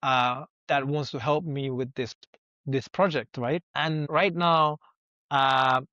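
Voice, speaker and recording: A man's voice: mid-range voice, fairly even intonation, takes it slowly, pushed and bright, no echo. Earnest young man, native Sinhala speaker. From Sri Lanka. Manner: earnest and reflective, thinks aloud with rhetorical questions. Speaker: guest. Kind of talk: conversational interview. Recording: dull, dry lo-fi sound.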